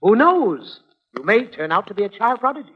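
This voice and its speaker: A long-suffering father in a vintage radio comedy. He sounds husky and theatrically exasperated, his pitch swinging widely, on a muffled old recording. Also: sing-song, a little fast, heavily acted. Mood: wry exasperation.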